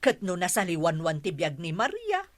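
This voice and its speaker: A woman's voice: lowish voice, wildly swooping pitch, normal speed, dry recording. A woman with an Ilocano accent playing a sceptical, gossiping village neighbour in a radio comedy drama. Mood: doubtful, gossipy.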